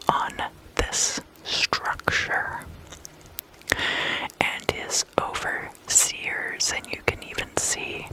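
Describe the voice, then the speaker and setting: Whispering woman, slow ASMR delivery. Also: poor audio. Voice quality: breathy, unvoiced whisper. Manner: soft, deliberate, repeats words slowly and hypnotically. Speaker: ASMR host and tarot meditation guide. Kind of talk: whispered ASMR guided meditation.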